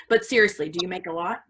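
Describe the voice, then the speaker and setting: Woman acting a bright-voiced, probing hostess. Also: lowish voice. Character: easygoing, prying woman host, guarded about herself. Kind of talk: staged play reading.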